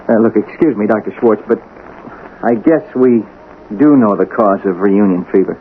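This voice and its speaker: An actor playing an earnest, fumbling husband who talks haltingly, in a 1980s radio drama style. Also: male voice, fairly low pitch, close to an everyday voice. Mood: apologetic, flustered. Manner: hesitant, halting, stammering. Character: earnest, fumbling working husband, stubborn but conscience-driven. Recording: tinny, noisy old radio broadcast.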